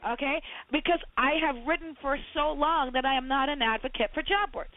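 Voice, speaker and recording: High-pitched woman from barbados, fired up and emphatic, sounds like she's on the phone. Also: a little fast. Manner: emphatic, animated, talks over others. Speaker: podcast co-host, resume writer. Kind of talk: heated casual debate. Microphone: phone call. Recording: phone-line audio.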